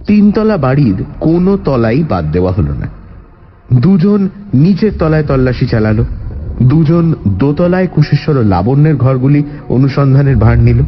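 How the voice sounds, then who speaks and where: A man narrating a detective story as the sleuth's observant companion, in an expressive scripted style. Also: mid-range voice, lo-fi sound, a little hiss or hum, natural voice.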